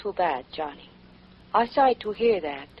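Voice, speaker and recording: A wistful, wry noir dame, played by an actress in a vintage radio drama. Her pitch swings expressively, over a dull recording with clear noise. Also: lively intonation, moderate pace, close to an everyday voice. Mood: wistful, let down. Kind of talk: 1950s radio drama acting.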